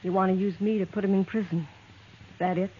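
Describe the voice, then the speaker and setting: A woman's voice: medium pitch, fairly even intonation, fast, slight background noise, close to an everyday voice. Woman playing anxious young frontier woman, tinny sound. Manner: hesitant, anxious, polite. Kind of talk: 1950s radio western acting. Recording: tinny, band-limited old radio recording.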